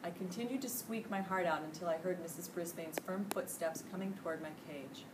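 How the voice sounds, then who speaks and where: A middle-aged American woman reading a children's storybook aloud with expressive, emphatic delivery. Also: on the low side, a little fast. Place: indoor school classroom. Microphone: distant camera microphone. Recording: distant camera mic, noisy room.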